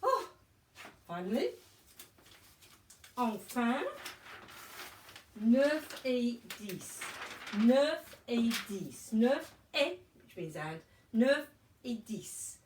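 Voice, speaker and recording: A woman's voice: a little high, very animated, extremely slow, long pauses, darkish tone, slight background noise. Scottish woman chanting French numbers. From Scotland. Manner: rhythmic chanting over a beat, then patient coaching. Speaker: language teacher. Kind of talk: rapping and teaching French numbers to children. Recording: roomy sound from a distance with music.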